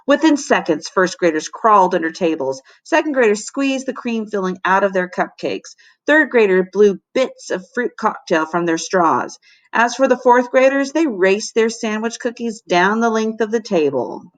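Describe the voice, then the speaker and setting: A woman teacher narrating a children's storybook aloud in an expressive, dramatic way. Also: mid-range voice, moderate pace. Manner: animated, dramatic.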